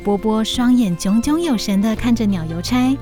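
Higher-pitched woman narrating a children's story. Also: moderate pace, over music. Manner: expressive, clearly scripted storytelling.

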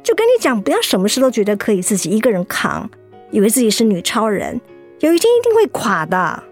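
An actress with a Taiwanese accent playing a blunt, softhearted young woman who nags her best friend in a radio drama. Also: mid-range voice, wildly swooping pitch, fast talker. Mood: nagging. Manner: blunt, nagging, exasperated. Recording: music behind the voice.

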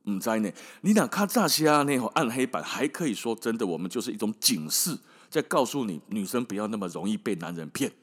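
A man's voice: fairly low pitch, very animated, fast talker, breathy edge. A man with a Taiwanese Mandarin accent telling stories as a podcast host in a casual, colloquial, wry and emphatic way, often tossing in rhetorical questions. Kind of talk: casual storytelling monologue.

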